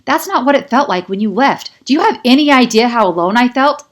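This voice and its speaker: A woman's voice: a little high, lively intonation, brisk, voice pushed up, natural voice. Woman playing Nikki, a hurt, stubborn young woman. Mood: indignant, hurt.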